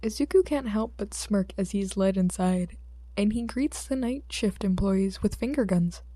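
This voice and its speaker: A woman narrating a fiction audiobook from a script, with expressive delivery. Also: mid-range voice, normal speed, dry recording.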